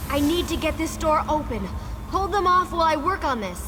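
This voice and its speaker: Determined warrior, noisy battle backdrop. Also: female voice, high voice, lively intonation, a little fast. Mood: urgent, commanding. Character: brave determined woman warrior companion, wryly humorous. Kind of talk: video game voice acting.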